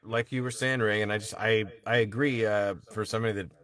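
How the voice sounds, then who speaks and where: A man's voice: on the low side, steady tone, a little fast, fairly bright tone. A man in a casual discussion, speaking tentatively and conversationally. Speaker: table read participant.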